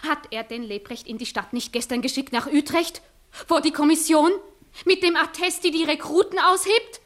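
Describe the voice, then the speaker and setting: A proud young country maiden speaks in a very high voice. She pleads and reproaches with impassioned, declamatory phrasing in the manner of 1960s radio theatre. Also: normal speed, fairly bright tone. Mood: indignant. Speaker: actress in a radio play. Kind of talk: 1960s German-language classical radio acting.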